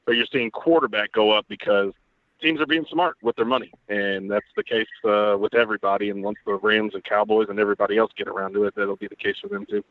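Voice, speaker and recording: Brief: guest analyst, man, rapid, detailed explainer, lo-fi remote line. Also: lowish voice, little pitch movement, no room sound. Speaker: guest football writer. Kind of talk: casual podcast chat.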